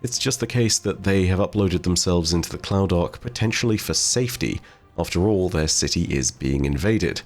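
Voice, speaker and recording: A man giving video game lore commentary in a measured, explanatory, wordy way. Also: deep voice. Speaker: video host. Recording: music behind the voice. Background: background music.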